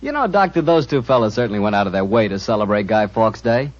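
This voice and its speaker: A male 1940s radio announcer with a folksy, jovial patter. He talks fast with animated pitch. The recording is dull and slightly noisy.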